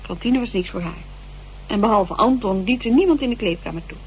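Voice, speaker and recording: A woman's voice: medium pitch, a little fast, slight background noise, lightly acted, poor audio. An actress playing a chatty, irreverent woman amateur sleuth in a colloquial radio drama. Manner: gossipy, animated, colloquial. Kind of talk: conversational radio drama acting.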